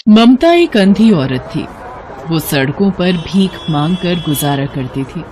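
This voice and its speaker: A woman narrating a scripted moral story as an expressive storyteller. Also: on the low side, slow, slight background noise.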